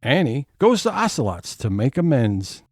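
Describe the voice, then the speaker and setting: A man's voice: medium pitch, big pitch swings, normal speed, a little mellow, no room sound, studio quality. A man playing the scene-setting narrator of an audio theatre comedy-drama.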